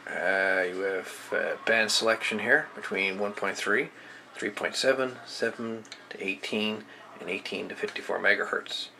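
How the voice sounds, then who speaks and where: A man giving a casual, unscripted product demonstration as an equipment reviewer, speaking haltingly as he searches for words. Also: lowish voice, creaky, bright, forceful tone, slight background noise. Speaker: amateur radio equipment reviewer.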